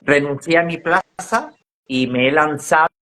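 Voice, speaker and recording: A man's voice: fairly high pitch, unhurried, bright, forceful tone, a little hiss or hum. Guest: man, 50s, from central Spain, reflective and easygoing, chatty over a video call. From La Mancha, Spain. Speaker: guest, educator and trainer. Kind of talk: casual interview conversation.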